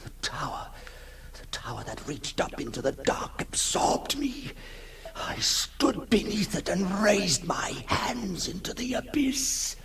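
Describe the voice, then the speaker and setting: An actor playing a haunted, lonely male outcast in a halting, theatrical 1960s radio drama monologue, intense and tormented. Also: high voice, very animated, creaky, in a whisper, exaggerated voice. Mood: hushed dread. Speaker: actor narrating as the character. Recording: noisy 1960s radio recording.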